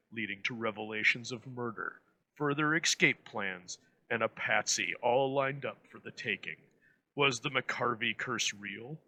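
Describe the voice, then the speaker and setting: A man's voice: mid-range voice, creaky, sharp tone, rough recording. A man presenting an episode in scripted narration with a dramatic, florid storyteller's delivery.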